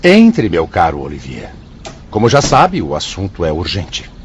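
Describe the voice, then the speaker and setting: An actor with a Brazilian accent playing a solemn, benevolent spirit director in a radio drama, speaking formally and with authority. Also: male voice, deep voice, wildly swooping pitch, measured pace, heavily acted. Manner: formal, declamatory. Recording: dull lo-fi audio, faint noise.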